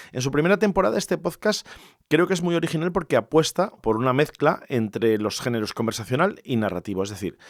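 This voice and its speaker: A man's voice: mid-range voice, dry recording, studio quality. A man from hosting a podcast alone in an informal, conversational, explanatory way. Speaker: podcast host. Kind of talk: conversational solo podcast monologue.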